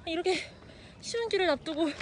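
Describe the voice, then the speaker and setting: A woman's voice: very high-pitched, lively intonation, a little fast. A young woman narrating a hiking vlog, chatting casually and a little breathless as she climbs a hillside trail with a handheld camera. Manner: breathless chatty remarks while hiking uphill. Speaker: vlogger filming a hike with her mother. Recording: loud outdoor noise.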